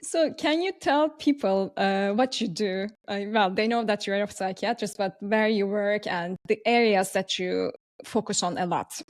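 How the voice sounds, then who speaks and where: A woman host with a Turkish accent talks in a conversational podcast interview style. Her voice sits at an ordinary middle pitch for a woman. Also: a little slow. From Turkey.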